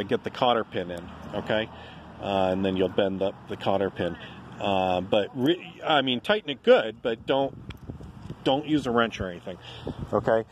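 A man casually explaining trailer-building tips outdoors, chatty and folksy, filled with ums and okays, filmed on a handheld phone. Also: mid-range voice. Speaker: DIY video host. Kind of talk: casual how-to talk. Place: outdoors in a yard.

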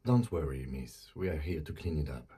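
An actor playing a calm, courteous, reassuring police lieutenant with a husky voice in a video game. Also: male voice, extremely low pitch, normal speed, heavily acted. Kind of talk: video game dialogue acting.

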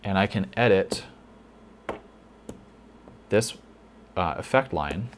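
A man teaching a lighting console in a casual tutorial, thinking aloud with fillers, a little hoarse. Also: deep voice, animated, takes it slowly, a little hiss or hum. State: slightly hoarse, losing his voice. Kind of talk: casual screen-recorded tutorial.

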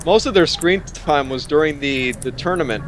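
A man's voice: fairly high pitch, normal speed, fairly bright tone, slight background noise. A man with an American accent hosting a casual podcast chat, talking with lots of fillers and restarts and laughing often. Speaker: podcast host. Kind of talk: casual group chat over voice call.

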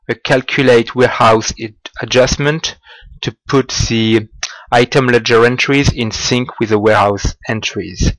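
A man giving a slow, halting walkthrough of business software over a screen recording, with small grammar slips. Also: medium pitch, no echo, low-quality recording. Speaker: software demonstrator.

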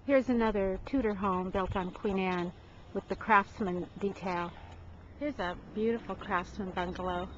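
A woman narrates a walking tour of houses in a chatty, admiring way, pausing often with ums and uhs. Her voice sits at an ordinary middle pitch. The recording is dull and lo-fi, and steady background noise runs under it. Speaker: real estate agent giving a home tour.

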